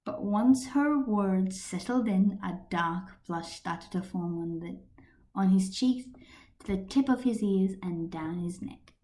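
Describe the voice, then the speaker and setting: A woman's voice: mid-range voice, slow, mellow. A woman reading a scripted story as an amateur narrator, recorded on a built-in computer microphone. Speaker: amateur fan fiction reader. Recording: echoey room on computer microphone.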